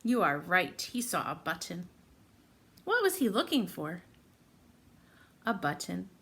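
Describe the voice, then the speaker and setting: A woman's voice: on the low side, unhurried, breathy edge. A woman reading a picture book aloud expressively to young children, putting simple questions to her listeners. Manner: expressive, simple teacher-like phrasing addressed to children. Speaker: read-aloud storyteller for children.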